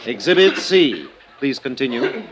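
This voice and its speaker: A man's voice: medium pitch, sing-song, a little slow, noisy background. A man in an old-time radio courtroom drama playing a prosecuting attorney who questions formally and methodically, courteous but insistent.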